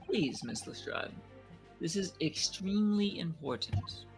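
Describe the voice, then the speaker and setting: Gravelly, earnest young lawyer, acted by a man. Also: high-pitched, lively intonation, unhurried, soft background music, poor audio. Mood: pleading. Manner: earnest, polite. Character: earnest, anxious young male defense lawyer. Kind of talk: video game fan dub.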